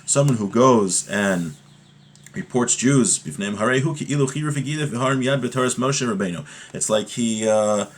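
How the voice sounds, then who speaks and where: A man's voice: mid-range voice, moderate pace. A man giving an informal religious lesson, reading from a text and explaining it point by point, often repeating key phrases. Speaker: religious teacher giving a class.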